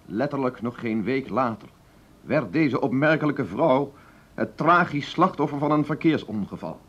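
An actor playing a solemn radio reporter in a drama, giving grave, measured, formal narration. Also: male voice, mid-range voice, expressive, a bit bright, breathy edge. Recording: dull old radio recording.

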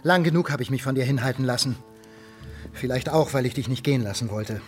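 A man's voice: mid-range voice, quick pace, soft background music, natural voice. Stern, wrathful middle-aged father acted by a man. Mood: cold, resolute. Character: stern, wrathful merchant father, controlling, wounded, proud. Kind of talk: German radio drama acting.

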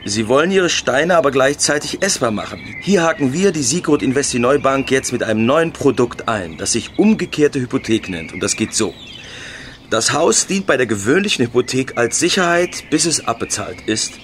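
An actor playing a businesslike bank employee in a radio play. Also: male voice, medium pitch, animated, moderate pace, a bit bright, a little hiss or hum, natural voice. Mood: explanatory. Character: businesslike male bank employee, chatty with friends.

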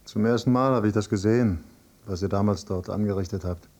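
An actor as a low, dark-voiced, insistent son. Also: male voice, fairly quick, lightly acted. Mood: probing. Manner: insistent, probing, controlled. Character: persistent, accusing grown son interrogating his father. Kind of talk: German radio drama acting.

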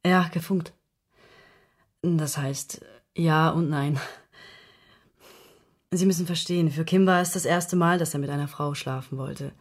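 An actress in a radio play playing a distressed woman who confides intimate matters in a halting, emotionally wounded way. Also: deep voice, steady tone. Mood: confiding, troubled. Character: distressed woman confessing her secret love affair to investigator.

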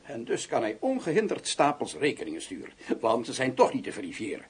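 A scornful, outraged official in a radio play. His mid-pitched voice is rough with huskiness and swings dramatically up and down. Every phrase comes out sarcastic and emphatic. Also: huge pitch swings, fairly quick, full character voice. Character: scornful male official outraged by lavish undercover expenses. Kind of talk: Dutch radio play acting.

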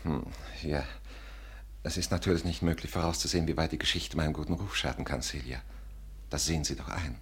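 An actor playing a vain, flattering Parisian art dealer, suave, florid and pompous, in a 1950s radio drama. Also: male voice, very deep voice, a little fast, slightly rough, heavily acted. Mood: courteous, hesitant.

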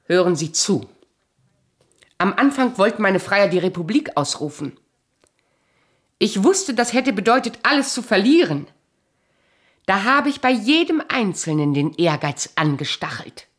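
A proud, bitter queen in a radio drama. Her voice sits at a middle height and moves widely up and down, cool and imperious, with a calculating, sharp tongue. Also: mid-range voice, big pitch swings, measured pace, pauses often. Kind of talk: German radio play acting.

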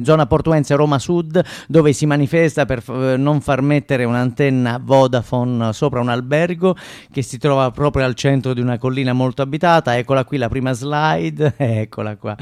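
A man's voice: mid-range voice, a little mellow, clean tone, dry recording, studio quality. A male stage performer presents a satirical report. His delivery is theatrical and declamatory, with a sardonic edge.

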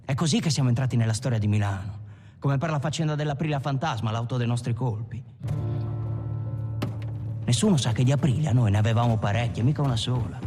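A man's voice: medium pitch, quick pace, lightly acted. An actor playing a boastful Italian bank robber who recalls his glory days in colloquial, emphatic monologue. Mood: proud, boastful. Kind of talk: dramatic monologue, confessional first-person storytelling. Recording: loud music under the voice.

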